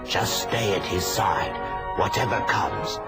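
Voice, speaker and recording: A man's voice: lowish voice, steady tone, takes it slowly, loud music behind the voice. A man playing a solemn, ominous wizard in a fantasy comic drama. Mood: grave. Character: solemn male wizard who fights evil spirits. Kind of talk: dramatic comic-adaptation acting. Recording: very loud film score behind.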